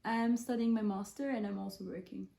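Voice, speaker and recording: A woman's voice: medium pitch, fairly even intonation, a little fast, creaky, warm tone. A woman in her twenties, a student, giving casual interview answers. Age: 20s. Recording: echoey, roomy sound.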